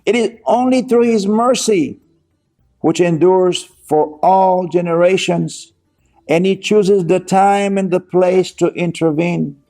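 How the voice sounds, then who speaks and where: A man from Israel giving an earnest sermon and reading scripture aloud at a slow pace. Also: high-pitched, mellow, soft background music. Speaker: teacher of scripture.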